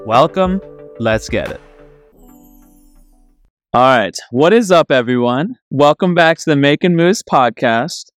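A man's voice: on the high side, animated, measured pace, long pauses, soft background music. A young man hosting a podcast in an upbeat, casual interview style with a chummy, slangy way of talking. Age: young adult. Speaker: podcast host.

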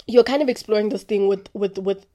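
A young woman hosting a podcast, talking about faith in a chatty, rambling way full of fillers, with a naturally deep voice. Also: steady tone, a little fast, mellow. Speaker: podcast host. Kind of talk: casual faith talk.

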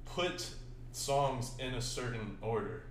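A man's voice: medium pitch, takes it slowly. Easygoing male interviewer, casual, recorded in a roomy space with faint noise. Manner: easygoing, thinks out loud.

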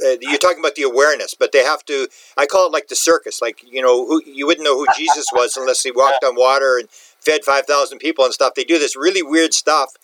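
Casting: male panel participant, mid-pitched, informal and conversational, dry sound. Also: a bit bright, no room sound, rough recording. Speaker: interview participant asking questions. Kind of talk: casual conversational discussion.

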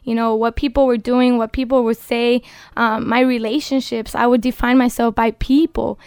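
High, smooth-voiced young woman. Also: little pitch movement, normal speed, a little mellow, dry recording, clean studio sound. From Dominican Republic. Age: 20s. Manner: earnest and passionate, exhorting listeners. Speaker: guest. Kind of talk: casual faith-based podcast talk. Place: studio.

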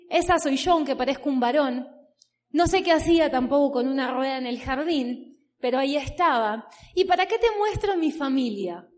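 A woman's voice: high voice, darkish tone. A woman in her thirties with an Argentine accent from the Buenos Aires area, telling her personal story as a motivational speaker at a business event, emphatic and stacking long repeating lists. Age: 30s. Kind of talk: personal story told from a stage. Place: stage before a live audience.

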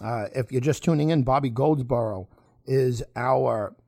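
A man in his forties hosting a radio interview, brash, with excitable interjections. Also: medium pitch, unhurried, creaky, no echo, studio quality. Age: 40s. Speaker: radio talk show host.

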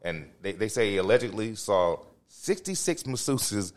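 Brief: fifties male podcast co-host, low-ish, animated pitch, loose storyteller who repeats himself. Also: moderate pace. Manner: rambling storyteller, repeats and restarts phrases. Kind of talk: casual chat, crude storytelling.

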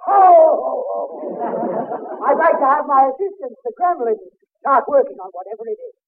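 A blustering comedy boss with a very high, swooping voice. He is bossy and sarcastic, able to turn on theatrical joviality. The sound is a tinny vintage radio broadcast. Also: very animated, normal speed, gravelly, crowd noise, background noise, full character voice. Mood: jolly, coaxing. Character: blustering, irascible boss who pretends to be jolly Santa Claus. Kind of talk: 1940s radio comedy acting.

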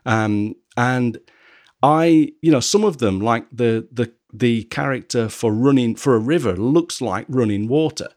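A man from England, hosting a podcast in casual conversation, who often pauses and restarts as he searches for words. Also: medium pitch, a little mellow, no room sound, studio quality. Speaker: podcast host.

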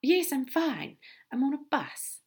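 A woman voicing a gentle, fussy male angel in audiobook dialogue, prim, courteous and a little hesitant. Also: high-pitched, very animated, slow, voice pushed up, heavily acted. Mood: surprised, polite.

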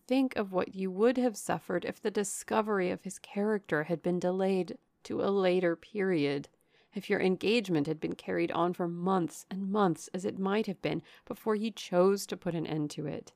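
Earnest, solemn young gentlewoman played by a woman. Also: mid-range voice, creaky, dry recording, clean studio sound, lightly acted. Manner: solemn, urging. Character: grave, caring elder sister, restrained and earnest.

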